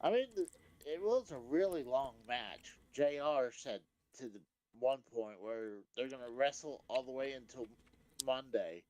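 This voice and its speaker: Man, podcast chat, mild, agreeable, hedging, middle pitch. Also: expressive, measured pace, a little mellow, poor audio. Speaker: podcast co-host. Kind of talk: casual chat.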